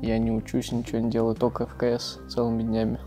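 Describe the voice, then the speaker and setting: Calm man in his twenties, flat delivery. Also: medium pitch, quick pace, faint music behind. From Russia. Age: 20s. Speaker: esports player. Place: living room on a couch. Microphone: lapel mic.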